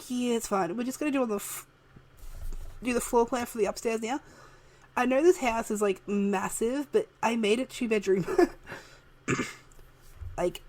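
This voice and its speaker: A woman, chatting softly and laughing often while narrating a game build, her voice a little worn. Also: fairly high pitch, rough recording. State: losing her voice. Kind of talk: casual voice-over chat. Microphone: home desk microphone, moved closer partway.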